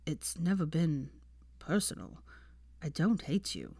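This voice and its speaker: A woman voicing a calm, aloof young wizard man with a civil, drily sarcastic manner in a story reading. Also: husky, no echo, clean studio sound, heavily acted. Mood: subdued, even. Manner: low-key, measured, civil, drily sarcastic.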